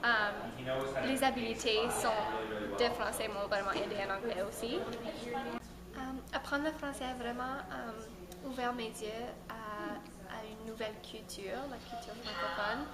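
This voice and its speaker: A woman's voice: a little high, steady tone, slow pace, creaky, a loud crowd. Hesitant young woman, echoey crowded room. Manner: hesitant, many "euh" fillers. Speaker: former immersion student. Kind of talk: unscripted testimonial.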